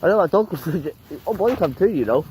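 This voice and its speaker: A man's voice: high voice, expressive, fast, a little mellow, a little hiss or hum, poor audio. An eighteen-year-old man chatting casually outdoors in the snow, swearing and laughing as he rambles. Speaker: vlogger. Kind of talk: casual chat while out in the snow. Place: outdoors on a snowy road.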